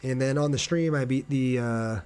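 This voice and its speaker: A male game streamer with a medium-pitched voice chats casually to his viewers. He talks through things in an informal, conversational way, reading comments and replying as he goes. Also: normal speed, a little mellow, smooth. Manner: reads viewer comments aloud and answers them. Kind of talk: casual livestream chat over gameplay.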